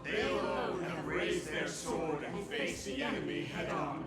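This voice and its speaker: A man's voice: a little high, measured pace. A man playing a solemn ancient ritual officiant in a video game, proclaiming in archaic, ceremonial language through echoing reverb. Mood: solemn, reverent. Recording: heavy echo, loud music behind.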